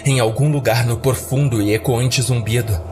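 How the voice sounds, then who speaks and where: A man narrates a horror story as a scripted podcast piece. His voice sits at an average pitch, delivered dramatically, with clear music running underneath. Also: fairly even intonation, normal speed.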